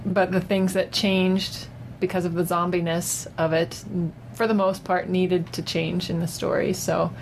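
Low-voiced woman, hesitant casual talker. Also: level tone, gravelly, low-quality recording. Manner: hesitant, halting delivery. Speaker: podcast guest giving a film review. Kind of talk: casual unscripted conversation. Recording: noticeable background noise.

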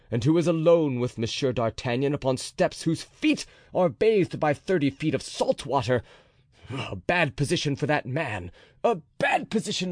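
A man's voice: fairly high pitch, darkish tone, dry recording, studio quality, pitched above the performer's own voice. A man voicing a fiery, proud musketeer captain who threatens with raised, intense force. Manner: raised, intense, menacing delivery.

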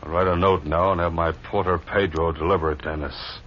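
An actor playing a callous, scheming saloon owner, sneering and domineering, in a 1950s western radio drama. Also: male voice, deep voice, steady tone, brisk, lightly acted. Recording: dull, noisy old radio recording.

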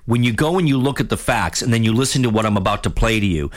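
A man of fifty hosting a radio talk show, giving a blunt, rambling opinion monologue with many restarts, his voice getting hoarse. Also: on the low side, animated, fast. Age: 50s. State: losing his voice.

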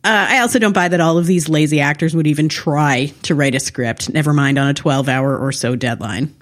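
A woman's voice: low-pitched, fairly quick. A woman co-hosting a casual TV recap podcast, talking in a wry, snarky way. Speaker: podcast co-host.

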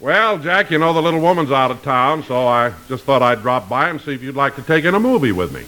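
A man's voice: mid-range voice, expressive, quick pace, bright, forceful tone. An American man acting a genial, stout friend in a 1950s radio comedy. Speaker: comedy show sidekick.

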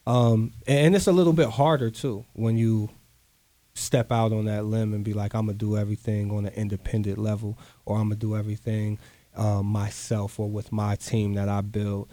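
A man's voice: fairly low pitch, flat delivery, normal speed, mellow, dry recording. A man, talking earnestly in a casual interview, often repeating himself for emphasis. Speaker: guest artist.

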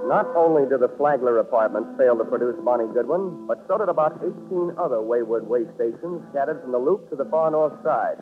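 A man's voice: medium pitch, lively intonation, brisk, hardly any pauses, natural voice. An American man playing a hard-boiled, wisecracking private investigator in a 1940s radio drama, with a wry, clipped delivery. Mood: weary, wry. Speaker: lead actor and narrator. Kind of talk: 1940s radio detective acting and first-person narration. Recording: muffled, phone-like 1940s radio recording, music behind.

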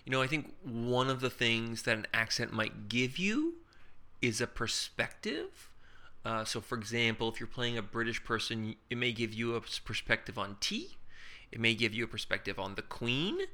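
A man with a very bright, crisp and smooth voice co-hosts a casual improv podcast. He walks through character-building ideas, tossing off quick hypothetical examples and rhetorical questions in an easy chatty flow. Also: mid-range voice, big pitch swings. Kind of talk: casual instructional chat.

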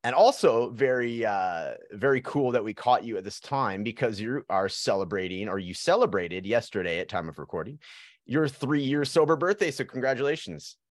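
A man hosting a podcast, chatting casually and warmly, rambling a little with fillers and restarts. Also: medium pitch, lively intonation, normal speed, no echo, clean studio sound. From Canada. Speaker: podcast host. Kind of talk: casual interview chat.

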